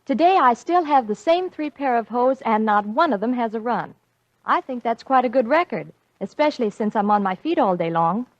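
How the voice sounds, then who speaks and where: A woman's voice: a little high, lively intonation, moderate pace, no room sound. A woman voicing an earnest hospital technician's testimonial letter in a 1940s radio advertisement, precisely worded. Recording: dull vintage radio recording.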